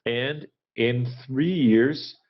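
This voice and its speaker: A man giving a corporate earnings presentation in a halting, measured business manner, pausing often to hesitate. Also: mid-range voice. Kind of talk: corporate earnings call presentation and question answering, unscripted. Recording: dull, lo-fi conference call audio.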